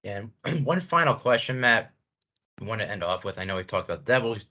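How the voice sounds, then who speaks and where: Fast, bright, deep-voiced young American man. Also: big pitch swings. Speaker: student sports radio host. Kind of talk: conversational interviewing.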